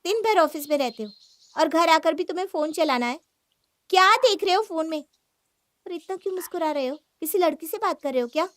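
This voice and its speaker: A woman's voice: very high voice, expressive, brisk, no echo, voice pushed up. A woman voicing a jealous, sulky young married woman in a cartoon moral story, complaining and argumentative. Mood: angry, suspicious.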